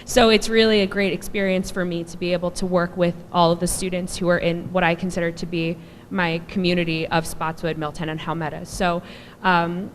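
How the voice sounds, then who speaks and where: A woman in her thirties from New Jersey, a band director speaking softly because of a cold, chatty and self-deprecating as she introduces a concert. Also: on the low side. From New Jersey, USA. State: soft voice from a cold. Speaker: middle school band director. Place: school auditorium. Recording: echoey hall with faint noise.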